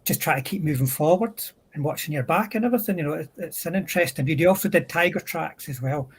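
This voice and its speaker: Husky man with a higher-pitched voice. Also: fast talker. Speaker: livestream guest and miniature hobbyist. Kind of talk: casual hobby chat.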